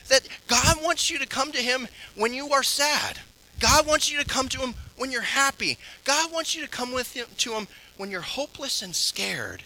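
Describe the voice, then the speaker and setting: A man pastor giving an earnest sermon that ends in prayer, swinging between strong, raised passages and low, soft ones. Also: poor audio.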